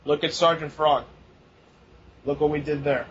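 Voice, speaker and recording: A man's voice: fairly high pitch, fairly even intonation, unhurried. A young man co-hosting a casual podcast, rambling, swearing and laughing often. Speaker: podcast co-host. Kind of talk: casual chat. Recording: dull lo-fi recording, faint noise.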